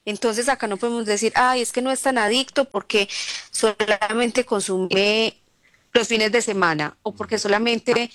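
A woman's voice: a little high, steady tone, normal speed, a bit bright, no echo. Firm-spoken woman, dry studio sound. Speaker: radio co-host, counselor. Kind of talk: casual radio call-in chat.

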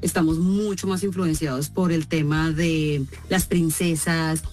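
A Colombian woman, a voice actress, answering interview questions in an expressive storytelling way. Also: sits low, measured pace. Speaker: voice actress guest.

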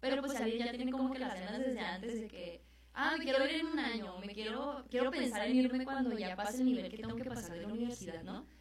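A woman's voice: a little high, brisk, heavy reverb, recorded from a distance. A young woman, a student guest chatting in a radio interview with lots of fillers. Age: young adult.

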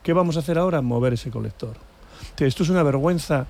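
A man's voice: a little high, fast, gravelly, a little mellow. A man debating on a panel in an indignant, emphatic way, repeating words for emphasis. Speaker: panelist in a political discussion. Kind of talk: casual, opinionated debate.

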